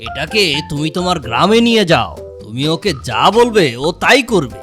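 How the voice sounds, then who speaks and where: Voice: mid-range voice, big pitch swings, normal speed, over music, natural voice. A performer voicing grown-up village figures in a children's cartoon story. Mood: kindly. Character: holy hermit with magic, and a scheming village elder.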